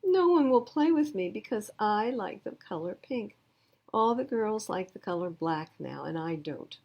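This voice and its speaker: A woman voicing a dramatic, self-pitying young schoolgirl who loves pink, in an expressive storybook reading. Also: medium pitch, a little slow, creaky, dull audio, pitched above the performer's own voice. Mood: sad, defiant.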